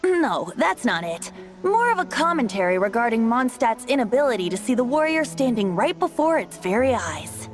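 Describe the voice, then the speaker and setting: Boy bard for fantasy game: high, very animated, theatrical, sly and cajoling. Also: moderate pace. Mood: sly, persuasive. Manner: playful, grandiose, cajoling. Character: cheeky, theatrical young male bard, a god in disguise. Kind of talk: fantasy video game voice acting. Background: background music.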